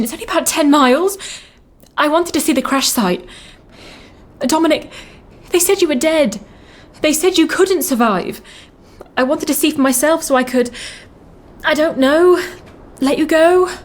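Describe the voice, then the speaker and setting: A woman's voice: high-pitched, close to an everyday voice. A woman playing a tender, overwhelmed lover reunited with someone lost, acting emotionally in an audio drama.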